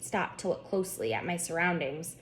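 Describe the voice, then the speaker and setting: A woman voicing an excitable, curious young schoolgirl in a storybook, eager and earnest. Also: fairly low pitch, moderate pace, a little hiss or hum, close to an everyday voice. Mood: sheepish. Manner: eager, wide-eyed, earnest.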